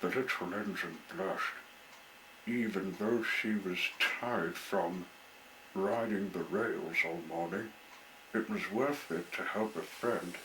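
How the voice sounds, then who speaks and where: A man's voice: deep voice, takes it slowly, background noise. A man reading a children's storybook aloud as the storyteller.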